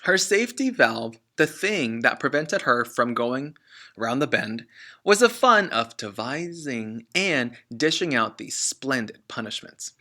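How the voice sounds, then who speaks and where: Bright-voiced man reading a children's book. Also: medium pitch. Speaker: teacher reading aloud. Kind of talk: expressive children's book read-aloud.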